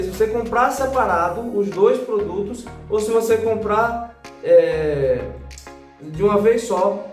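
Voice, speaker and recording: A man's voice: high voice, echoey room. A Brazilian man giving a casual tutorial voice-over as a gadget reviewer, instructing viewers directly with frequent check-in tags. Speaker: gadget reviewer and channel host.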